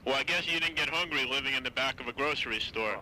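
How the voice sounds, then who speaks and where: Man, interviewer, crisp bright voice, short questions, repeats answers back. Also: medium pitch, quick pace, slight background noise, low-quality recording. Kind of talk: family interview.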